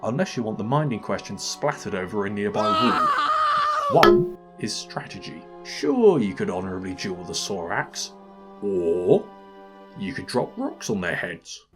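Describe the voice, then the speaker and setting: Guy doing a wry scripted game review, low voice, dry humour, music going underneath. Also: wildly swooping pitch, a little slow. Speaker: video game reviewer, channel host. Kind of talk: scripted comedic review narration.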